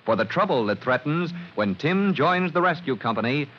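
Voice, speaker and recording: An actor narrating a 1940s radio serial in a measured, suspenseful announcer's style. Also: male voice, fairly high pitch, lively intonation, lightly acted. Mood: suspenseful, announcing. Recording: noisy, dull vintage radio recording.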